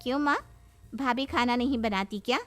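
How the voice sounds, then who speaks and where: A woman playing a sweet, teasing young family member in an animated comedy story. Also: a little high, normal speed, over music, lightly acted. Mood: concerned, teasing.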